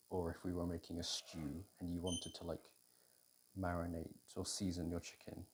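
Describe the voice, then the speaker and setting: A British man in an intimate, casual ASMR roleplay as a boyfriend cooking, talking in an unhurried, hesitant way as if thinking aloud. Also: low voice, a little mellow, a little hiss or hum. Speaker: audio roleplay performer playing a boyfriend cooking. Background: kitchen food preparation sounds.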